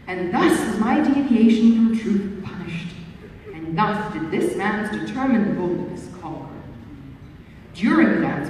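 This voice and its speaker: Expressive woman reading in an echoey hall. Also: mid-range voice, a little hiss or hum. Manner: expressive, lively first-person narration. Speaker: reader. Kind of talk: reading a classic novel aloud. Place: book launch event hall. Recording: echoey hall, mic far off.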